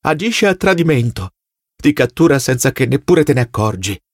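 A man's voice: mid-range voice, big pitch swings, no echo, clean studio sound. A man voicing a plump, servile, agitated party loyalist who whines and pleads in an audiobook. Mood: solemn, self-pitying. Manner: whining, rapid-fire questions with tag words.

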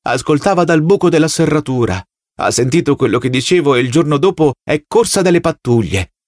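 A man's voice: a little high, lively intonation, a little mellow, dry recording, studio quality, pitched above the performer's own voice, natural voice. A man voicing a plump, servile, agitated party loyalist who whines and pleads in an audiobook. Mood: mournful pride. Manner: whining, rapid-fire questions with tag words.

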